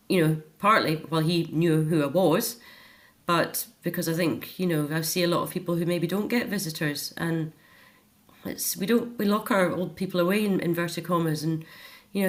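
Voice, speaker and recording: A middle-aged Scottish woman talking earnestly and reflectively as a guest in a personal interview. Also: deep voice. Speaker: interview guest, author and care home volunteer.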